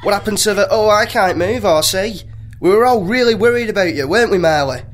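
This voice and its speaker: A man's voice: high-pitched, brisk, faint music behind. A man playing a brash, informal starship captain who blusters his way through a comedy radio play. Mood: indignant.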